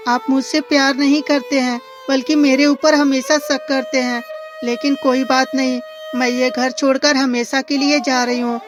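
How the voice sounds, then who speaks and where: A woman's voice: high voice, pitched above the performer's own voice. A woman voicing a wronged, indignant young wife who protests earnestly in a melodramatic cartoon moral story.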